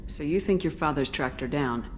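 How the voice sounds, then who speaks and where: An actress playing a steady, reassuring female military commander in a video game, composed and direct. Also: low voice, moderate pace, faint music behind, close to an everyday voice. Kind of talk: video game character acting. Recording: game audio with faint ship ambience.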